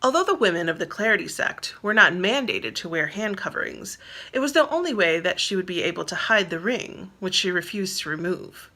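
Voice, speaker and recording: A woman's voice: lowish voice, animated, fairly quick, sharp tone. A woman reading her own novel aloud as narrator, in scripted storybook style.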